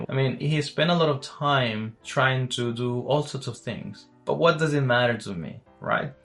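A man hosting a faith podcast. He chats easily, asks his guest open questions and slows down to read scripture. Faint music sits under his voice. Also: medium pitch, vocal fry. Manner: warm welcoming, asks questions, reads scripture slowly. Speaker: podcast host. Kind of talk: faith podcast conversation and Bible reading.

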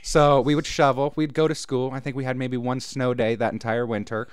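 A man's voice: mid-range voice, brisk, clean tone, no echo, studio quality. A man from Central Oregon hosting a casual interview, joking and self-mocking as he chats. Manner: lively, jokey, self-mocking. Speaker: podcast host.

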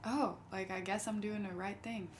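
A woman's voice: medium pitch, fairly even intonation, normal speed, crowd noise. A woman chatting as a guest on a podcast. She is enthusiastic and encouraging. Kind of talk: casual conversation.

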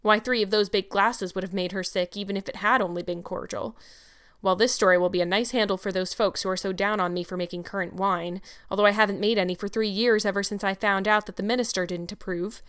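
Lady reader as strict older guardian woman, brisk n practical, gruffly kind, clear voice. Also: mid-range voice, fairly even intonation, a bit bright, close to an everyday voice. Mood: curt, dry. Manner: brisk, no-nonsense, curt.

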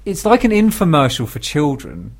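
A man's voice: on the high side, wildly swooping pitch, normal speed, gravelly. A British man co-hosting a radio comedy show, offering dry, brief interjections in casual banter.

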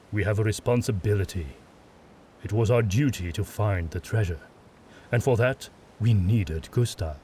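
Guy doing a low stern witch hunter lord, bossy and a bit condescending, faint rumble. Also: darkish tone. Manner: firm, measured, authoritative.

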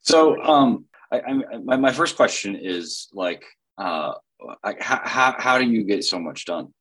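Man host, very deep voice, halting, stumbles through questions. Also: animated, fairly bright tone, husky, poor audio. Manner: halting, many fillers and restarts. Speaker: podcast host. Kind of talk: casual interview.

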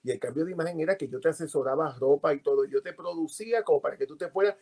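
Lively Puerto Rican man telling stories. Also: fairly high pitch, very fast. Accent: Puerto Rican Spanish. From Puerto Rico. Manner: animated storytelling with many fillers. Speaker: guest. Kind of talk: casual interview.

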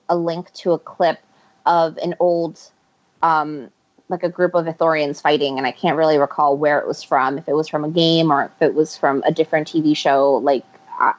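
Woman author guest from Ecuador, slightly low voice, rambling and self-correcting, dull lo-fi sound. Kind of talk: casual interview chat. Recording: muffled lo-fi audio.

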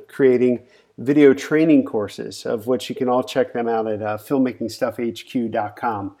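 Male podcast host, mellow dark tone, casual and filler-heavy. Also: mid-range voice. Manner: loose, filler-heavy, friendly setup.

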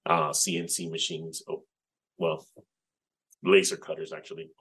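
A man in his forties with a very low voice. He is a Spanish speaker who talks as an interview guest. He recounts things reflectively and often adds "you know". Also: little pitch movement, a bit bright. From Puerto Rico. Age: 40s. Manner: reflective, unhurried storytelling with frequent "you know". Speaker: guest, game developer. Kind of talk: casual interview chat.

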